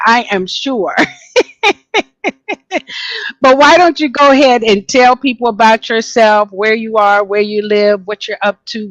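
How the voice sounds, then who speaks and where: A woman with a fairly high voice hosts a video call panel in a chatty, warm way. She introduces her guests and asks them questions. The sound is close and dry. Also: no room sound, rough recording. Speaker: host.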